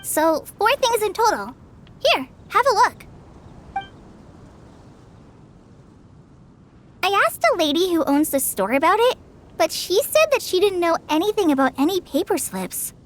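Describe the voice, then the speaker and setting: A woman playing a shy, friendly teenage girl in a video game, gentle and a little tentative. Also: extremely high pitch, very animated, moderate pace, a little hiss or hum. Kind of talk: video game character dialogue.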